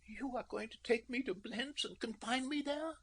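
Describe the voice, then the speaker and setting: A man's voice: very high-pitched, normal speed, sharp tone, a little hiss or hum, voice pushed up. A man doing a haughty young noblewoman. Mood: frightened. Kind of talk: audiobook narration.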